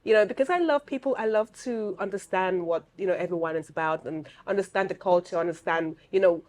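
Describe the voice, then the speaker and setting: A woman's voice: lowish voice, fast talker. A woman from Cameroon with a British accent, a guest artist talking casually and agreeably in an interview. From Cameroon, raised in London. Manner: warm, agreeable, frequent affirmations.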